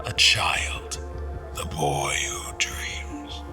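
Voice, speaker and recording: A man playing a solemn steward of a fallen world, giving grave, deliberate, often hushed dramatic narration in an audio drama. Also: extremely low pitch, creaky, whispered, exaggerated voice. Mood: brooding, resolute. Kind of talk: dramatic first-person scripted narration. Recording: music under the voice. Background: dramatic music.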